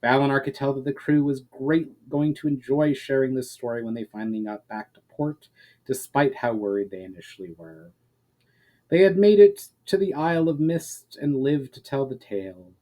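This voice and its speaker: A man with a mid-pitched voice narrates a story. He reads it as an author would his own work, in a dramatic storybook style. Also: moderate pace, mellow, rough recording.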